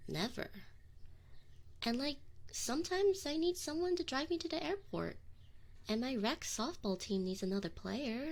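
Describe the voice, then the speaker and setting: A woman's voice: mid-range voice, gravelly. A woman playing a young tsundere succubus in an intimate ASMR roleplay, prickly and defensive, with affection and neediness showing through. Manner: defensive, teasing, halting when admitting feelings. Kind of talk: scripted ASMR roleplay acting, intimate direct address.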